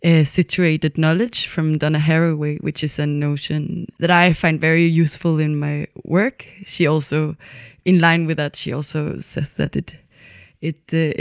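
A young adult dance student from Denmark, speaking thoughtfully in a reflective conversation, pausing often with fillers. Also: mid-range voice, dry recording, studio quality. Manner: thoughtful, hesitant, many pauses and fillers.

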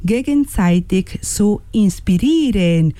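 A woman's voice: mid-range voice, animated, slow, dark tone, dry recording. A woman hosting a radio programme, presenting partly from a script and speaking in an encouraging manner. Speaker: radio host and workshop leader.

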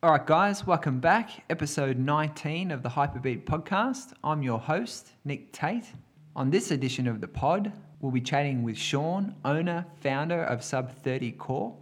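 A man's voice: fairly high pitch, lively intonation, normal speed. A man hosting a podcast, giving an upbeat introduction and asking his guest questions. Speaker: podcast host.

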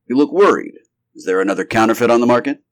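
A man's voice: mid-range voice, expressive, fast, pitched above the performer's own voice, natural voice. A man voicing a brusque, skeptical male scientist in a story, with emphatic, wordy, learned phrasing. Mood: cheerful, curious. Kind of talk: acted story dialogue.

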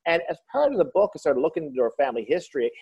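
A man's voice: a little high, very animated, very fast, husky, lo-fi sound. A man, a comedian and author, telling joking stories in a casual interview. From Newfoundland. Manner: joking storyteller, slips into voices.

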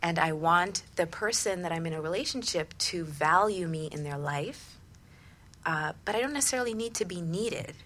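A woman's voice: fairly low pitch, expressive, moderate pace, a bit bright, slight background noise. A woman co-hosting a conversational radio discussion, sharing observations and putting questions to a guest. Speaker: radio show co-host.

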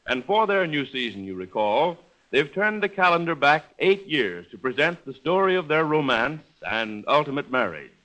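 A man's voice: a little high, bright, forceful tone, poor audio. A man announcing in formal, polished 1930s radio style, reading persuasively from a script. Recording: vintage 1930s radio broadcast recording.

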